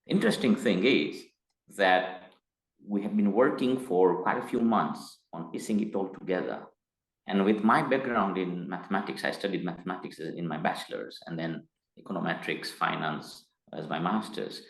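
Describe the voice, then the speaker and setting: A middle-aged man from Tanzania, speaking as an interview guest in a measured, hesitant way that turns emphatic. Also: low-pitched, creaky. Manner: measured, hesitant with frequent uh fillers, grows emphatic. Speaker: guest, company executive. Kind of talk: interview conversation. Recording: roomy echo.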